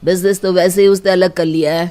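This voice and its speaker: A woman's voice: fairly low pitch, steady tone, a little fast, dark tone, natural voice. A woman voicing an earnest, mild married older brother in a cartoon family story. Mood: earnest, surprised. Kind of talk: cartoon dialogue.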